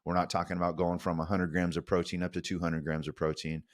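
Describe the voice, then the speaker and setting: A young man with a very low voice giving earnest, coaching advice on a podcast. He keeps it casual and calls listeners "dude", recorded dry on a close mic. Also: little pitch movement, fast, no echo. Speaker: podcast co-host.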